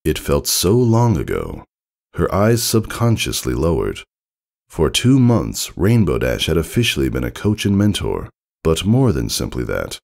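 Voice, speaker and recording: A man narrates scripted fiction in a very low voice with a gravelly creak. His delivery is dramatic and measured, with extremely animated swings in pitch. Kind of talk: expressive scripted story narration.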